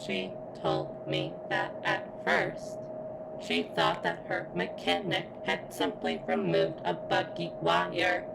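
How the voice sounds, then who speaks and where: A woman playing a sentient robot girl in an ASMR roleplay, speaking haltingly and deliberately as if in constant pain, earnest and devoted. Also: extremely low pitch, very animated, vocal fry, noisy background, full character voice. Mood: wistful, aching.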